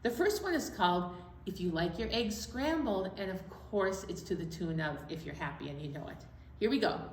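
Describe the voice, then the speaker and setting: A woman's voice: mid-range voice, lively intonation, normal speed. A woman hosting a children's storytime, chatting and explaining things cheerfully to young children. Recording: echoey room, distant mic, faint noise.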